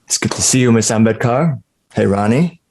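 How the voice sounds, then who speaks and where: A man's voice: on the low side, animated, normal speed, darkish tone. A man acting a polite, hesitant doctor in his thirties at a table read. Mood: awkward. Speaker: actor in a script table read.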